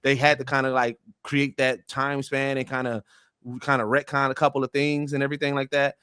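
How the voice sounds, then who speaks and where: A man's voice: medium pitch, little pitch movement, a little fast, bright, forceful tone, smooth, dry recording, clean studio sound. A man speaking as a podcast panelist, explaining his opinions in an emphatic, colloquial way. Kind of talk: casual pop-culture discussion.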